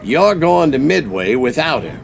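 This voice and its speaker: Gravelly senior American navy commander. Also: male voice, fairly high pitch, very animated, measured pace, slight background noise, exaggerated voice. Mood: grave, decisive. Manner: wry, authoritative, clipped. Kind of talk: dramatic game cutscene dialogue.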